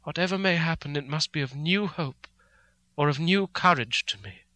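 A brave, sorrowful young woman, as a male narrator puts her on, earnest and resolute. The voice lifts higher than his usual, bright and dry, right at the mic. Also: normal speed, no room sound.

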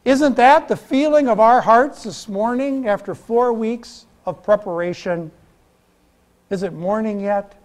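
A middle-aged American man preaching a sermon in church, deliberate and emphatic, in short phrases with pauses. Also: sits high. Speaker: Lutheran pastor. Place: church, indoors at a pulpit.

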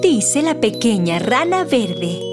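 A woman tells a children's story in a high voice. Her delivery is expressive and very animated, with deliberate phrasing. Music plays clearly underneath. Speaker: narrator. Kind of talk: scripted children's story narration.

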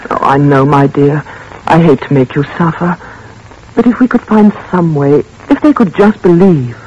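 An actress playing a gentle, anxious spinster in a 1940s radio drama, soft and soothing, fussing over the children. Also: female voice, deep voice, normal speed, a little hiss or hum, lightly acted. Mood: coaxing. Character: gentle spinster woman, Miss Peabody, anxious. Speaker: narrator of diary entries. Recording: dull old radio recording with faint music.

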